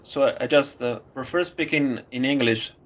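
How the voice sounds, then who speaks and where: A man with an Italian accent, speaking English as a second language in a casual conversation, halting with many fillers. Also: medium pitch, fairly bright tone. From Italy. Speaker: student.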